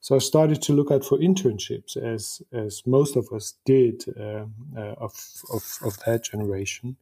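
Soft, husky-voiced German man in his fifties. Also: mid-range voice, moderate pace. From eastern Germany. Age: 50s. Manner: thoughtful, frequent restarts and repeated words. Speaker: guest, publisher. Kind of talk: conversational interview.

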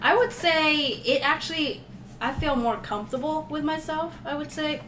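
A young woman co-hosting a casual podcast chat, talking quickly with frequent giggles. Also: high voice, distant mic, a crowd in the background. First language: Thai. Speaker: podcast co-host. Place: small room, group podcast recording. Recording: echoey room, mic off to the side.

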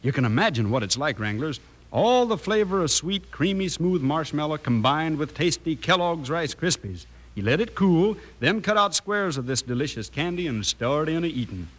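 A man's voice: a little high, sing-song, normal speed, a bit bright, rough recording. An American man delivering an old-time cowboy radio ad in a folksy, enthusiastic manner. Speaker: radio pitchman. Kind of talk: 1950s cowboy-style radio advertising.

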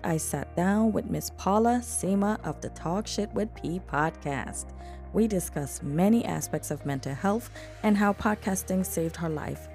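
A woman's voice: lowish voice, dark tone. A woman speaking as a podcast host, introducing and interviewing in a prepared, presentational style.

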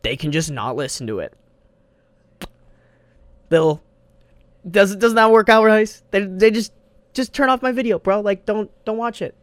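A man's voice: sits high, lively intonation, unhurried, long pauses, no room sound. A young man hosting his own podcast alone, rambling casually in slang with plenty of swearing, on a desk mic. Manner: rambling, slangy and profane, says bro a lot. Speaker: podcast host. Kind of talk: casual solo podcast monologue. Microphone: studio mic on a desk.

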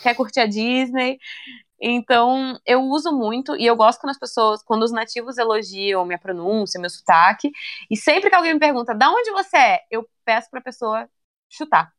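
A young woman chatting casually in an interview, relaxed and talkative, often ending phrases with little tag questions. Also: fairly high pitch, moderate pace. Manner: chatty, relaxed, many fillers like "né" and "sabe".

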